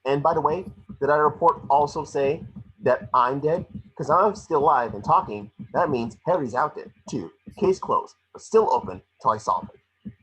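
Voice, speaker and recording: A man playing a small, cocky, wisecracking mouse detective in a table read, with a rough-edged husky voice. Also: on the high side, expressive, fairly bright tone, poor audio. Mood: insistent. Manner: fast-talking wisecracking patter. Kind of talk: animated script table read.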